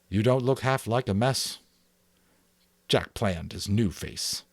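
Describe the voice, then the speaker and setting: A man with a fairly low voice reads a novel aloud as a dramatic audiobook. His delivery is expressive, and he lifts his tone on key phrases. Now and then he trips on a word and corrects himself. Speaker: amateur audiobook reader.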